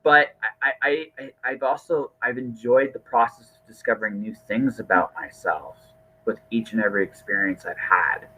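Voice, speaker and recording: A man's voice: medium pitch, fairly even intonation, moderate pace, bright, forceful tone, slightly rough, soft background music, low-quality recording. A middle-aged man hosting a casual podcast conversation, earnest and rambling, often repeating his words. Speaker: podcast host.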